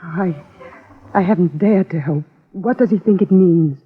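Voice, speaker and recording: An actress playing an anxious, hesitant wealthy planter's wife in a 1950s radio drama. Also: female voice, on the low side, measured pace, slightly rough. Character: anxious wealthy planter's wife awaiting news of her husband. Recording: tinny hissy old radio broadcast.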